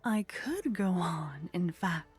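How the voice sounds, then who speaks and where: A woman playing a haughty, flirtatious vampire in an intimate ASMR roleplay, speaking softly and close to the microphone with a teasing, condescending manner that often drops toward a whisper. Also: lowish voice, lively intonation, slow pace, faint music behind, heavily acted. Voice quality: soft, breathy.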